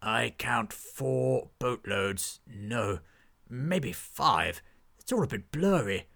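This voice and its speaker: A man's voice: mid-range voice, expressive, drawn-out words, creaky, a bit bright, exaggerated voice. A man voicing a pompous, cheerful knight with a genteel, fussy manner in a storybook tale. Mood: peering. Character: pompous, cheerful male knight, fond of flowers.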